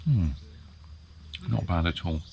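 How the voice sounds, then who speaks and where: A man in his fifties, chatting casually to the camera outdoors in a field, relaxed and rambling with plenty of ums. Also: sits low, takes it slowly, creaky, a little hiss or hum. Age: 50s. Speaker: vlogger. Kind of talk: casual vlog chat.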